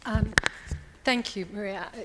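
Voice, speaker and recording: A woman councillor answers a question with earnest hesitance, restarting her sentences often. Her voice sits at a middle pitch. A long chamber reverb trails behind each phrase. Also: fairly even intonation, a little slow, heavy background noise.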